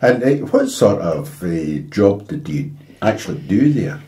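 A man's voice: lowish voice, sing-song, unhurried, mellow. An older Scottish man interviewing a guest at a dining table at home, rambling and full of "eh" fillers. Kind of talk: casual interview chat. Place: dining room in a private house. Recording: roomy echo in a home room.

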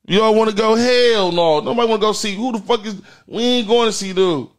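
A man's voice: sits high, a little fast. An American man in his thirties hosting a comedy livestream with casual, profane, repetitive slangy banter. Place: indoor studio in front of a printed backdrop.

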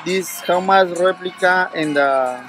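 A man's voice: high voice, a little slow. A man in his thirties from Peru with a Peruvian Spanish accent, a valet talking eagerly in broken English on a city street. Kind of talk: street interview.

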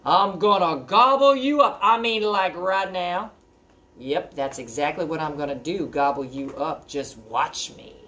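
Artie, a woman doing a soft-hearted troll. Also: very deep voice, moderate pace, few pauses, a little hiss or hum, voice pushed down. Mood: blustering. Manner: chatty storybook voice, shouts threats half-heartedly. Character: gentle artsy male troll, friendly, trying to act mean.

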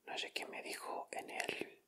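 A man with a Castilian Spanish accent doing gentle, informal ASMR commentary as he hosts an unboxing. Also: measured pace. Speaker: ASMR video host.

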